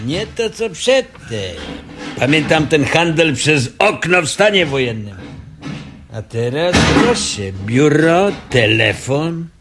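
An actor in a Polish radio comedy playing a talkative regular customer, drawling and exclamatory. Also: male voice, fairly high pitch, very animated, slow pace, music in the background, heavily acted. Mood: impressed, chatty. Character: talkative regular male customer buying sausage.

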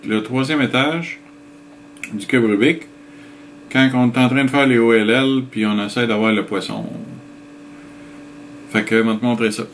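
A middle-aged man with a Québécois French accent reads out viewer comments and answers them in a relaxed, chuckling way, explaining things step by step. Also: mid-range voice, slight background noise. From Quebec, Canada. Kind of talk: casual chat reading out comments.

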